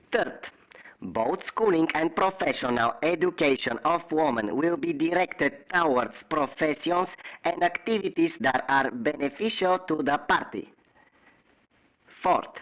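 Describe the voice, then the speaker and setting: An official herald of an oppressive regime in a dystopian audio drama. His fairly high male voice carries an as he declaims formally and sternly, in measured proclamation. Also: fairly bright tone, low-quality recording. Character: stern official party herald of a totalitarian state. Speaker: voice actor.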